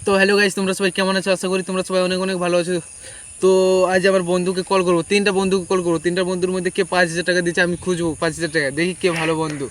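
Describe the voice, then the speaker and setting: Casual male vlogger, high voice, informal and repetitive, insects chirping behind. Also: little pitch movement, very fast, background noise. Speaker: prank-call vlogger, host. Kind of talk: casual chat to viewers and prank phone calls.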